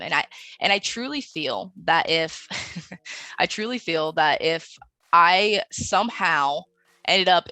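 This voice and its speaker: Creaky, bright-voiced woman, podcast guest. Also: fairly low pitch, no room sound. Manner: earnest, conversational, seeking agreement with tags. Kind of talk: casual, personal interview talk.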